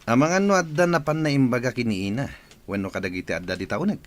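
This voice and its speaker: A man with an Ilocano accent playing an excitable son in a village radio drama. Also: medium pitch, quick pace, faint music behind, natural voice. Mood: questioning.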